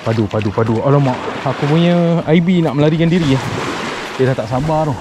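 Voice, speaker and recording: A man's voice: on the high side, fast talker, very noisy. A middle-aged Malaysian man chatting casually to an action camera while out fishing on a boat and beach, upbeat and mixing in English slang. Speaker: fishing vlogger. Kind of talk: casual vlog talk to camera. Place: outdoors on a boat and island beach. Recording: loud background music.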